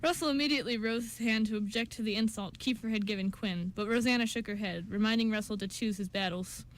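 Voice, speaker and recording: A woman's voice: mid-range voice, fast talker, poor audio. A woman reading scripted story narration for an amateur radio show, with an occasional stumble.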